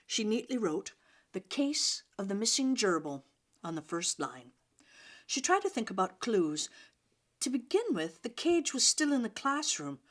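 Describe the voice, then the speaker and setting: A woman reading a children's story aloud in an expressive, dramatic way, with pauses and emphasis for young listeners. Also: fairly high pitch.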